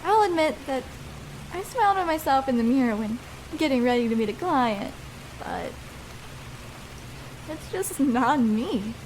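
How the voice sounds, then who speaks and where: A woman acting a shy, nervous young first-time escort in an intimate roleplay, speaking tenderly and haltingly. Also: high voice, lively intonation, rough recording. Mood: nervous, confiding. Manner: hesitant, halting, soft-hearted. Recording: clear noise, rain sounds behind. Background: rain falling.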